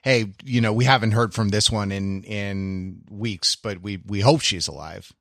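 A man co-hosting a podcast with casual commentary, halting and circling back to rephrase as he goes. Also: on the low side, vocal fry, fairly bright tone, dry recording, studio quality. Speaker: podcast co-host.

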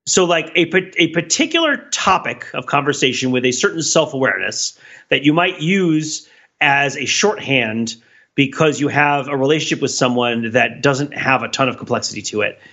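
A man's voice: mid-range voice, lively intonation, normal speed, pushed and bright. A middle-aged man co-hosting a podcast in casual conversation, discursive and analytical with wry humor, recorded with a dull, lo-fi sound. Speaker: podcast co-host.

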